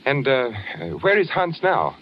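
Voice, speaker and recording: A man's voice: a little high, sing-song, moderate pace, a little hiss or hum. An actor playing an anxious, secretive hunted man in a 1940s radio drama, in an intimate, uneasy delivery. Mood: nervously deferential. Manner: brooding in narration, hesitant and deferential in talk. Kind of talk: 1940s radio acting, intimate first-person narration and dialogue. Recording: muffled 1940s radio recording with music.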